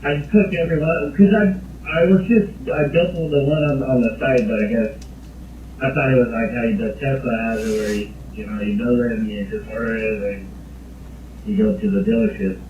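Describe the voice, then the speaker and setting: A male customer on a muffled, echoey phone recording. His pitch is average and he chats informally, rambling a little and sounding unsure. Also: moderate pace, slight background noise. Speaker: car-buying customer calling in. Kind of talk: casual phone chat.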